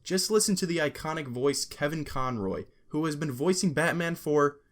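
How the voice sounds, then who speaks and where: A man's voice: fairly high pitch, lively intonation, moderate pace. A man hosting a video essay, giving opinionated scripted commentary in an informal, emphatic way with sarcastic asides.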